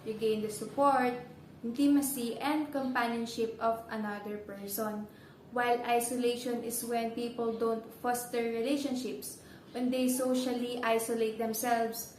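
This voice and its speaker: High young woman's voice, echoey room. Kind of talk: prepared explanation. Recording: echoey room with faint noise.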